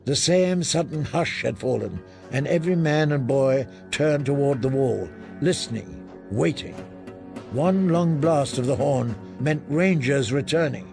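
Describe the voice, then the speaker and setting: Gravelly, slow older male audiobook narrator. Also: medium pitch, lively intonation, over music. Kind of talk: dramatic literary narration.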